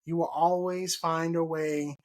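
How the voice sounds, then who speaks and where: A man's voice: on the high side, little pitch movement, a little slow. A man in his thirties from Texas hosting a casual gardening podcast over a video call, laughing often and repeating himself. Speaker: podcast host. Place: home room, indoors. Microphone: video call mic.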